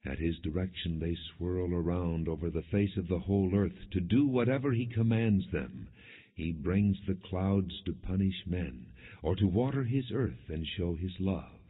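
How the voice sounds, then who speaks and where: A man narrating scripture in a solemn, measured, declamatory way, as in an audio Bible. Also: low-pitched, lively intonation, husky. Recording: phone-like narrow band, long hall reverb.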